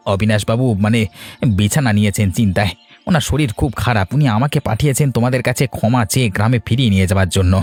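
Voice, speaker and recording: A man's voice: on the low side, quick pace, a little mellow, soft background music, lightly acted. An actor playing a landlord's loyal, repentant henchman in a moral-tale cartoon, explaining things earnestly. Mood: pleading.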